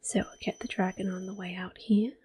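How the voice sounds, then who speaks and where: A woman hosting ASMR gameplay, talking softly and gently with quiet laughs. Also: medium pitch, fairly even intonation, fairly quick, slightly rough. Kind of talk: whispered ASMR gameplay commentary.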